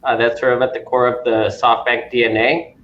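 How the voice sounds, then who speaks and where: Flat-voiced man investor, dull echoey sound. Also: medium pitch, fairly quick, slight background noise. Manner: hesitant, many fillers. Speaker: investor panelist. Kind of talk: panel discussion.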